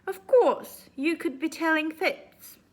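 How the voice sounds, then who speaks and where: A woman's voice: sits high, takes it slowly, voice pushed up. A woman voicing a suspicious, nosy storybook bear who questions bluntly from behind a door. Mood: wary. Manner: interrogating, blunt.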